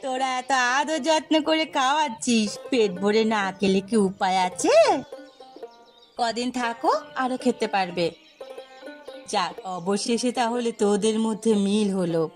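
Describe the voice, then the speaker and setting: A woman's voice: fairly high pitch, a little slow, soft background music, close to an everyday voice. A woman voicing an older, good-natured but weary village matriarch in a cartoon. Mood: contented. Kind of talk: cartoon voice acting.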